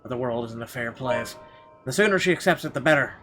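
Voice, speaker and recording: A man playing a game on stream, reading its narration aloud haltingly and reacting casually and bluntly. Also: mid-range voice, expressive, a bit bright, faint music behind. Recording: clearly audible background noise.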